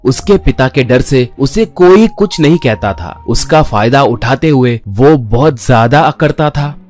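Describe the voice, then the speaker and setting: A man's voice: medium pitch, darkish tone. A man narrating a scripted children's story as the storyteller.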